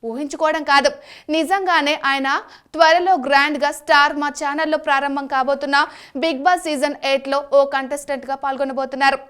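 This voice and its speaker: A woman's voice: high voice, a bit bright. A woman reading a scripted voice-over as an entertainment news narrator.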